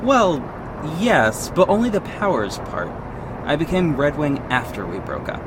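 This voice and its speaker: A man's voice: fairly high pitch, wildly swooping pitch, measured pace, noisy background. A man playing a confident vigilante detective who narrates his own story in an earnest, dramatic audio drama style. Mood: frank, explaining. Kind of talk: first-person audio drama narration with acted dialogue.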